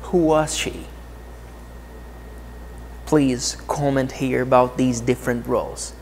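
Slow Brazilian man teaching English. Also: mid-range voice, steady tone, gravelly, big-room sound, a little hiss or hum. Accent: Brazilian Portuguese. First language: Portuguese. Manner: deliberate, friendly teaching delivery. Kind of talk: slow, clear English lesson to camera. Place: outdoor courtyard of a TV set.

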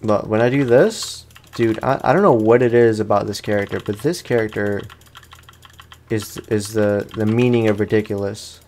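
A man's voice: on the low side, moderate pace, darkish tone, slight background noise. Casual slangy male gaming streamer. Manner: slangy, informal, repeats phrases. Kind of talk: casual let's play commentary.

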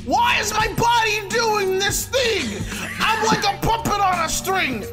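A man voicing an unhinged, petty mad scientist villain in an improvised comedic game dub, bombastic, ranting and repetitive, with a gravelly, strained shout. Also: extremely high pitch, measured pace. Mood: indignant, flustered. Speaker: fandub voice actor. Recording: loud music under screen-recorded voice.

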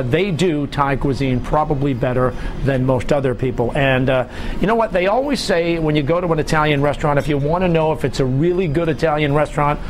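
A middle-aged man hosting a food show, chatty and enthusiastic, with lots of filler words. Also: fairly high pitch. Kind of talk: upbeat restaurant show presenting. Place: restaurant dining room, then TV studio set.

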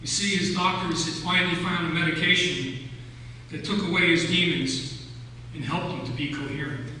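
A man's voice: a little high, fairly even intonation, normal speed, bright, forceful tone. Older male preacher in a very echoey church. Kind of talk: sermon, telling stories from notes. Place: large church sanctuary, at the pulpit. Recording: echoey church hall from far off, hum.

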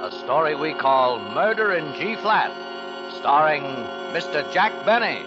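A man's voice: a little high, lively intonation, measured pace. A man announcing a radio show in a formal, dramatic 1950s style. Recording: muffled 1950s radio recording, loud music.

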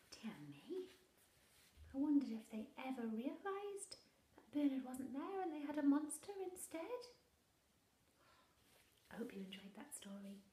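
A young woman reads a picture book to small children, gently and expressively. Her voice is fairly high and very animated, at an unhurried pace. The room adds noticeable echo. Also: slight background noise. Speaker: primary school teacher. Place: living room indoors. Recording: roomy, echoey home recording.

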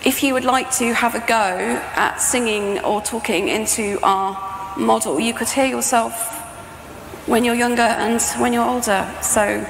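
British woman, middle-aged, chatty presenter with some creak in her voice, reverberant hall with faint noise. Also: a little high, fairly even intonation, measured pace. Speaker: composer and sound art professor presenting. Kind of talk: informal conference talk. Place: stage in a large presentation tent or hall. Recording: echoey hall with background noise.

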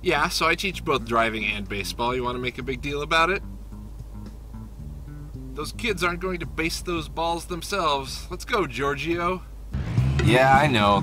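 An actor playing a grumpy, unimpressed driving instructor and coach in a comic game, deadpan and grudging. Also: male voice, a little high, natural voice. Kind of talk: comic game cutscene acting.

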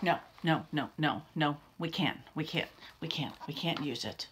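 A woman voicing an excitable, worried, mischievous monster from a children's picture book, exclaiming and urging the listener along. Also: low voice, moderate pace, noisy background, voice pushed down. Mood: stern.

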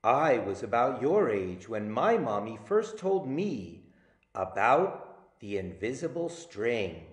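A man voicing a gentle, reassuring mother in a storybook read-aloud. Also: mid-range voice, sing-song, slow, reverberant.

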